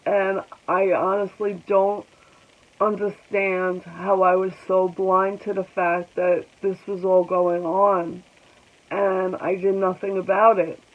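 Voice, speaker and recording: A woman in her forties speaking slowly in a personal vlog, congested, halting, and pausing often. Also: medium pitch, little pitch movement, phone-quality sound. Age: 40s. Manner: halting, reflective, with many pauses and ums. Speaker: vlogger. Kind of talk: personal vlog talking to viewers.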